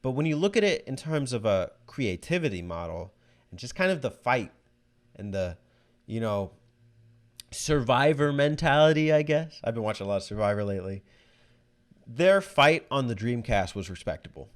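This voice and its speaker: A man's voice: medium pitch, very animated, moderate pace. A man hosting a voicemail segment, giving casual video game commentary in a conversational way, thinking aloud as he goes. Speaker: host answering listener voicemails.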